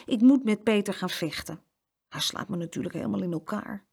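A woman's voice: medium pitch, expressive, brisk, gravelly, darkish tone, husky, voice pushed up. A woman voicing a small, stubborn young boy with a headstrong, plucky manner in a children's storybook. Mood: glum, regretful. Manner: tough-talking, headstrong. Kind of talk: children's storybook character reading.

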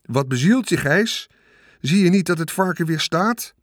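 An excitable, blustering male farmer, acted out by a man reading a storybook, pitched up from plain talk. He exclaims loudly and indignantly in short bursts. The sound is dry. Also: measured pace, no echo. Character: blustering, excitable male farmer defending his prize pig. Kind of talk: audiobook character voice.

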